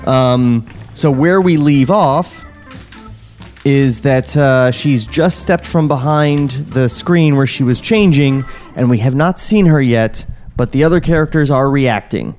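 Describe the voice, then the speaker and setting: A man hosting a podcast in casual chat, recapping a story haltingly with sarcastic, sweary asides. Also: mid-range voice, darkish tone. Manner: foul-mouthed, sarcastic. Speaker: podcast host recapping a script. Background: music bed.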